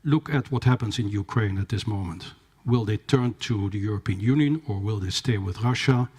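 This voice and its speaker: A man giving a formal conference talk, measured and hesitant, with fillers and restarts. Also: lowish voice, a little mellow, breathy edge. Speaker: conference speaker. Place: conference hall.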